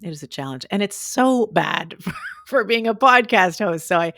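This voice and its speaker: A woman podcast host whose voice is limited and strained, speaking in a thoughtful, halting way with many restarts. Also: medium pitch, lively intonation, fairly bright tone, no room sound, studio quality. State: voice limited and strained after surgery. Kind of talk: conversational interview.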